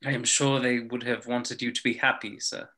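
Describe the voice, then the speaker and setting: A man playing a devoted, polite butler in a table read of an animated film, with formal, gently probing phrasing. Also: mid-range voice, moderate pace. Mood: gentle concern.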